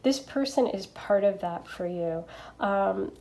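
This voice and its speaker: A woman with a stuffy nose from allergies, chatting gently through a tarot reading with lots of fillers and little checks. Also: mid-range voice, animated, slow pace, gravelly. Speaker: tarot reader. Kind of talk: casual pick-a-card tarot reading.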